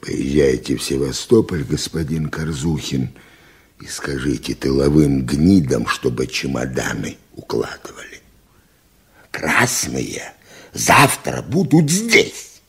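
A cold, bitter general. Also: male voice, very deep voice, sing-song, slow pace, creaky, husky, full character voice. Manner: curt commanding orders, bitter sarcasm. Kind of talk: archival radio play acting.

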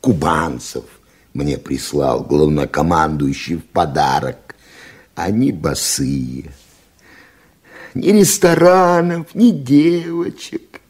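A cold, bitter general. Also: male voice, rumbling low, a little mellow, slightly rough, exaggerated voice. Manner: curt commanding orders, bitter sarcasm. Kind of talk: archival radio play acting.

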